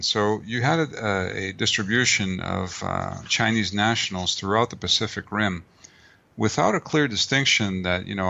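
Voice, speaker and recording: A middle-aged man gives long, wandering interview answers, full of fillers and restarts. His low voice has a gravelly fry and a bright, forceful edge. The audio is dull and lo-fi, like a remote call. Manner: rambling, many restarts and fillers. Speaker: guest author and martial artist.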